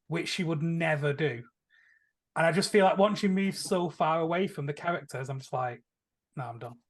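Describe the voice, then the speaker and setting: Higher-pitched man chatting on a podcast. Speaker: podcast panelist. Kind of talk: casual opinionated chat about films and TV.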